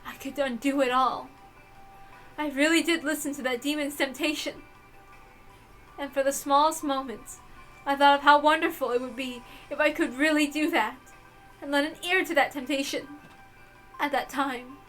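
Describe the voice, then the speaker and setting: Woman playing tormented mother, high-pitched, proud, desperate, theatrical confession, visual novel reading, faint music behind. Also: fairly even intonation, normal speed, long pauses, poor audio. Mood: somber, remorseful. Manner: theatrical, emotional, swinging from hushed to impassioned. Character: guilt-ridden mother confessing murder, proud, anguished, desperate. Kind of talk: dramatic visual novel read-aloud.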